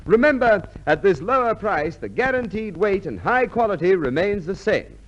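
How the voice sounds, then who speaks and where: A man's voice: high voice, animated, normal speed. A man reading a scripted 1950s radio advertisement as commercial announcer. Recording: dull vintage radio recording, faint noise.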